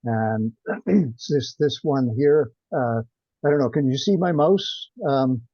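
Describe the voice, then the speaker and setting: A man giving an informal technical presentation on a conference call, with hesitant, halting delivery. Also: medium pitch, lively intonation, mellow, dry recording. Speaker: presenter of research findings. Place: teleconference. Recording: dull, dry, band-limited conference call.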